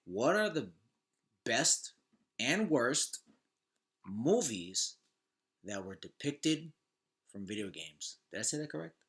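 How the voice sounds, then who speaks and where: A man's voice: mid-range voice, very animated, takes it slowly, long pauses, fairly bright tone. A man hosting a podcast in casual chat, easygoing and informal, often repeating his words. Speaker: podcast host.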